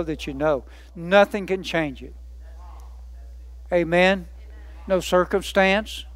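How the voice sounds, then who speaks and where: A man's voice: high voice, dry recording. A man preaching a sermon, emphatic, building lists by repetition and asking rhetorical questions.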